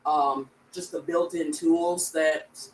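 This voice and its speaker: A woman's voice: deep voice, fairly even intonation, takes it slowly, a little mellow. A woman co-hosting a casual tech livestream, chatty and laughing easily as she explains tools. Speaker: co-host, tech community founder. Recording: echoey distant mic with faint background noise.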